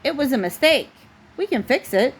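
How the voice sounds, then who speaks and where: A woman's voice: sits high, expressive, normal speed. A woman voicing an earnest, apologetic male race car from a children's storybook in a plain manner. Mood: earnest, sorry.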